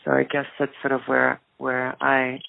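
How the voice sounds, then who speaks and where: A woman with an Icelandic accent answers interview questions in a thoughtful, hesitant way. Her intonation stays very even. The recording is dry and narrow, like a call. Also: a bass voice, moderate pace, creaky, no echo. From rural Iceland. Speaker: interview guest, activist and filmmaker. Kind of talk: reflective interview talk.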